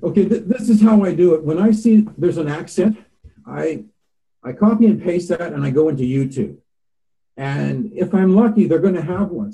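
A chatty male voiceover artist rambles casually as a podcast guest. His slightly high voice is dark and mellow in tone. His pitch moves around in a lively way. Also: poor audio.

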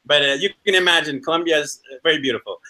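A man's voice: fairly high pitch, very fast, bright, forceful tone, low-quality recording. A man hosting a podcast interview in an upbeat presenting style. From Vancouver, Canada. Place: indoors in a condo. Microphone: video call.

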